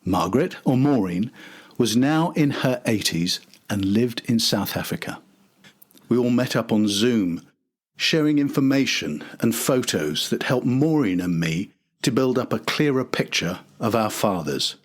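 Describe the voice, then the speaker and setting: An older man with a slightly husky voice, reading scripted documentary narration as a podcast host. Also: mid-range voice, lively intonation, a little slow.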